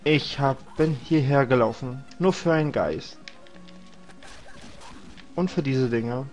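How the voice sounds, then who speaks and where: A man's voice: medium pitch, slow pace, soft background music. A young man with a cold, casually rambling and joking to himself while commentating a video game. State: has a cold. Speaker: let's play host.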